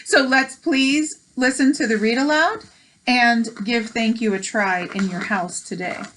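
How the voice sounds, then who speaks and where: Casting: American woman as read-aloud host, slightly high voice, encouraging, explains things simply between lines. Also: measured pace, fairly bright tone, slight background noise, poor audio. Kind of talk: reading a picture book aloud with chatty asides.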